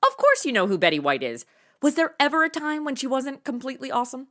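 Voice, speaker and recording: A woman's voice: sits high, sing-song, quick pace, a bit bright. A woman playing a flippant, impatient young female sleuth, sarcastic and slangy, in a comedy audio drama.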